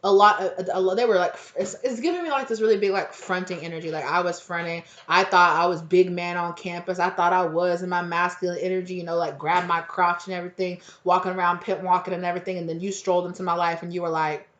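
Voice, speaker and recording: A woman with a slightly low, hoarse voice chats her way through a tarot reading, full of slang and quick acted-out quotes. Her throat sounds worn, close to giving out. The recording is echoey and a little dull, like a bare room at home. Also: fairly even intonation. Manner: rambling, slangy, acts out quotes. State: hoarse, nearly losing her voice. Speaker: tarot reader. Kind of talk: casual channeled tarot reading.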